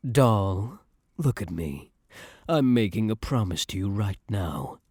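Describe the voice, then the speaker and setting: A low, close and dry voice of a young mafia heir, acted by a man in an ASMR roleplay. He is tough and self-assured, yet tender with his partner. His slangy, affectionate talk rises and falls expressively. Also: a little mellow, husky, dry recording, heavily acted. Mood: earnest, devoted. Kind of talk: ASMR boyfriend roleplay, intimate scripted monologue.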